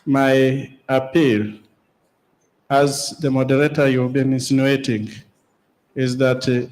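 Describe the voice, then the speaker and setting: A man's voice: medium pitch, level tone, darkish tone. Ugandan man making a formal appeal. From Uganda, West Nile. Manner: formal, measured appeal with pauses. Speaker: district chairperson. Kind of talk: conference floor comment. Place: conference hall.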